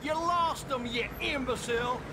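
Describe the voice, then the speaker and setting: High, brash, gold-hungry soldier, very noisy. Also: male voice, moderate pace. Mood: irritated. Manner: excitable, griping. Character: loud, brash, gold-hungry male soldier, reckless and comic. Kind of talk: comic video game dialogue. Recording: loud gunfire and vehicle noise.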